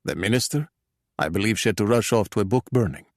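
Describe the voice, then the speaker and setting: A man's voice: on the low side, animated, fast talker, no room sound. A man voicing an understated, dryly witty retired spy and art restorer in an audiobook. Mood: wry. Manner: dry, understated.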